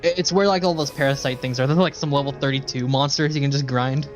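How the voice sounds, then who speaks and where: A man's voice: mid-range voice, steady tone, quick pace, faint music behind. A man casually chatting with viewers as a game livestreamer, rambling and swearing freely. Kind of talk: casual chat with viewers while playing.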